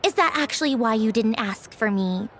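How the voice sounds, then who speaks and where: An actress voicing a sharp-tongued, bossy female engineer and Fatui harbinger in a game, with a brisk, wry, dismissive manner. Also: a little high, lively intonation, a little hiss or hum, lightly acted. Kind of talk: game voice acting. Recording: game dialogue over soft music.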